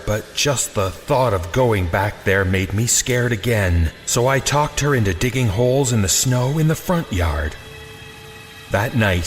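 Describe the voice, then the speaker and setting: Man narrating horror over music. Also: mid-range voice. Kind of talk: scripted first-person horror narration.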